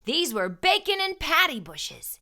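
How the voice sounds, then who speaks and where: A woman reading a storybook aloud to young children, with expressive, emphatic delivery and deliberate phrasing. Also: high-pitched.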